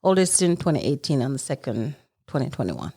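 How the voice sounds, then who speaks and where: A woman's voice: very deep voice, sing-song, brisk, dark tone, breathy edge, no room sound, clean studio sound. A middle-aged woman from Jamaica with a Jamaican accent, telling her own story conversationally as a podcast guest.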